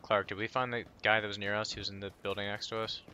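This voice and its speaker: A man with a fairly low voice talks casually to teammates during a shooter game. His callouts are terse and profane, and there is clear game noise behind him. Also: little pitch movement, quick pace. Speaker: video game player.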